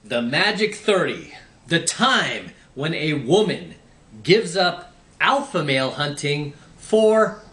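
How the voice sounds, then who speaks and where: A man's voice: a little high, sing-song, slow, a bit bright, echoey room, a little hiss or hum. A man lecturing on dating in an opinionated advice monologue, sarcastic and swearing now and then. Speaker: dating commentator.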